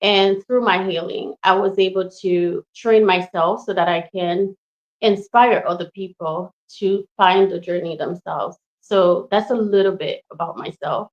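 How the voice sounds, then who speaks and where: A woman in her thirties from Haiti talks as an interview guest in a casual, personal style. Her voice is medium in pitch and her manner is effusive and expressive. The recording sounds a little dull and lo-fi. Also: unhurried. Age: 30s.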